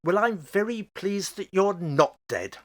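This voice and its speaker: A man's voice: high voice, lively intonation, unhurried. A man playing a genial, curious father in a radio play, with a polite, slightly hesitant manner. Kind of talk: amateur radio play acting.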